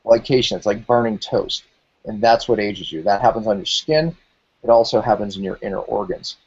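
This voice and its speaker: A man's voice: lowish voice, darkish tone, breathy edge. Guy in his 40s hosting a health show, hammering points home, slightly dull lo-fi sound. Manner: emphatic, repetitive phrasing. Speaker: health talk show host. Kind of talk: explanatory health talk.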